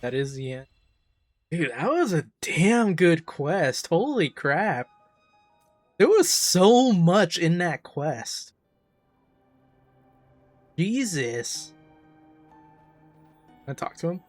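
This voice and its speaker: Male reaction streamer, slightly high voice, casual and emphatic, keen gaming commentary over faint music. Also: sing-song, drawn-out words, long pauses, dry recording. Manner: enthusiastic, emphatic, informal. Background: faint game music.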